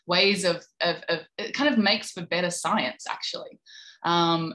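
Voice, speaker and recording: Low-voiced woman answering thoughtfully. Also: a bit bright, poor audio. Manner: thoughtful, hesitant, many fillers. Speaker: panel speaker. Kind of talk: answering a question on a panel.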